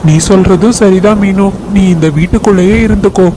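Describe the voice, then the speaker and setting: A man's voice: high voice, fairly even intonation, brisk, natural voice. A man voicing a friendly, sensible dove in a cartoon story, speaking in an earnest, encouraging way. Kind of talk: Tamil cartoon story voice acting. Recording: noisy track with outdoor ambience.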